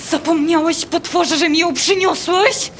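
Very high-pitched furious, desperate woman. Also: fairly even intonation, unhurried, a little hiss or hum. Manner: loud, pleading, declamatory. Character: distraught, furious woman demanding, accusing a beast. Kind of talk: theatrical radio drama.

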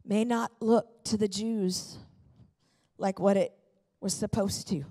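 Fervent middle-aged woman preaching. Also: sits high. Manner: emphatic and fervent, with repeated phrases. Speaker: pastor preaching to a congregation. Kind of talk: sermon with personal storytelling. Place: large church auditorium, on stage. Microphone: handheld microphone.

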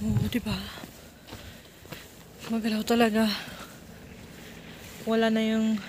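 A woman in her forties from the Philippine highlands, chatting casually in a walking vlog on a foggy mountain road, her phone held at arm's length. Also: monotone, slow, a little mellow. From Benguet, Philippines. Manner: chatty, mixes English into Tagalog, talks while walking. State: slightly out of breath from walking. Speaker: vlogger. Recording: outdoor phone recording with clear background noise.

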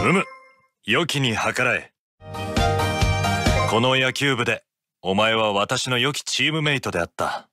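A man voicing a proud, grandiose ancient king for a video game, lordly and deliberate. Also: fairly low pitch. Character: proud, grandiose ancient male pharaoh baseball player. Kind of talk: video game character voice clips. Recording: loud game music behind.

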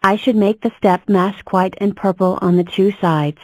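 A woman plays an earnest female number block from a children's counting cartoon. Her delivery is stiff and formulaic, at a middling pitch, in a dry, close recording. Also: steady tone, normal speed, no room sound, lightly acted, rough recording.

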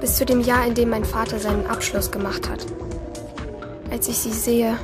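A woman's voice: a little high, little pitch movement, normal speed, over loud music, close to an everyday voice. A woman playing a rebellious, lonely teenage girl who narrates her thoughts intimately in a German radio play. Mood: shaken.

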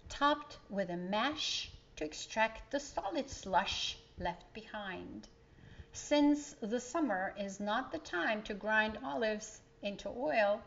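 Storyteller, woman, middle-aged. Slow, emphatic children's reading, slightly dull room recording. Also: on the high side. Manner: slow, emphatic, explanatory asides to young listeners. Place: indoor living room.

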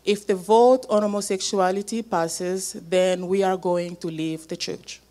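A woman addresses a meeting through a handheld microphone. Her voice sits at an average pitch. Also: fairly even intonation, a little slow, dark tone. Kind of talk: speaking up at a meeting.

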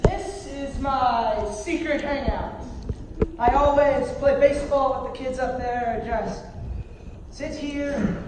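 A man's voice: extremely high pitch, slow pace, background noise. A young man playing a reflective, devoted father, telling his story earnestly in a stage play. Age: young adult. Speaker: amateur stage actor. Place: theater stage. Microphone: far audience camera. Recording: distant echoey theater hall, music behind.

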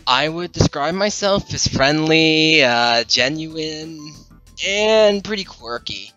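A man's voice: on the high side, animated, slow pace, sharp tone, highs cut off, soft background music. A man in his twenties giving an upbeat self-introduction through a headset mic at home. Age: 20s. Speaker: contestant. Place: bedroom at home.

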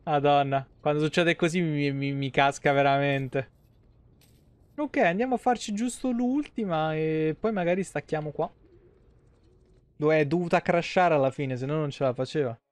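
A man's voice: on the high side, normal speed, clean tone, dry recording. A young man doing casual, slangy live game commentary with some swearing. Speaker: game streamer. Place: small indoor room, webcam stream.

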